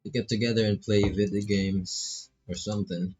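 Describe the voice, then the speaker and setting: A man's voice: on the low side, flat delivery, moderate pace, darkish tone, lo-fi sound. A man with a Filipino accent commentating a visual novel as he plays, casually reading dialogue aloud with playful asides. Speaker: streamer playing a visual novel. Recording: music behind the voice.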